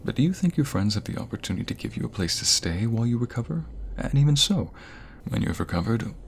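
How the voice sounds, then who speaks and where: A man's voice: mid-range voice, very animated, fast, creaky, a little hiss or hum. A man acting in an intimate audio roleplay as a male firefighter, an obsessive captor who poses as a caring rescuer and talks in a coaxing, insistent way. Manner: coaxing, softly insistent, hesitant stammers.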